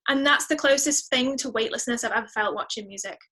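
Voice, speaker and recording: A woman speaking as a podcast guest in a casual interview, chatty and expressive, often exclaiming. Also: fairly high pitch, fast.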